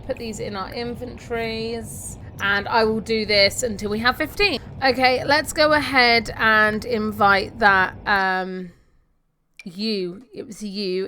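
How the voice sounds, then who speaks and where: A woman's voice: medium pitch, bright, forceful tone, a little hiss or hum. A woman hosting a casual game walkthrough, chattily talking through each step and sharing tips. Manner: chatty, narrates own actions step by step, reads pop-ups aloud. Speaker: gaming video host.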